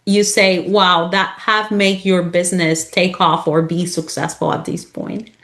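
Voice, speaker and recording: A woman's voice: fairly low pitch, flat delivery, measured pace, fairly bright tone. Enthusiastic woman host. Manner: enthusiastic, sums up the guest's answers. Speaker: podcast host, interviewer. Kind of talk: interview questions.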